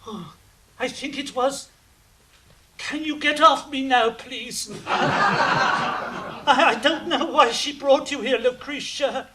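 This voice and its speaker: A woman's voice: high voice, takes it slowly, long pauses, heavy background noise. A woman playing a suspicious, nosy castle busybody in a comic audio drama, speaking ponderously. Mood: amused.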